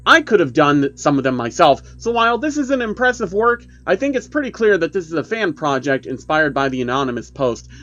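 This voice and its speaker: A man's voice: fairly high pitch, sing-song, brisk, few pauses, fairly bright tone, muffled recording, faint music behind. A man voicing a chatty male YouTuber who explains creepy cartoon myths emphatically, in online video style. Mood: brisk debunking.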